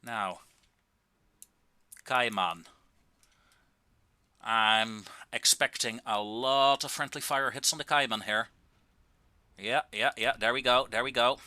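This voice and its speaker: A man giving casual, wry and understated commentary on a video game, with drawn-out pauses, into a close microphone. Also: medium pitch, sharp tone, no echo.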